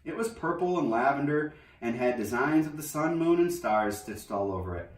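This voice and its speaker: A man reading a children's novel aloud in an expressive storybook narration style. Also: medium pitch, moderate pace. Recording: roomy echo, distant microphone.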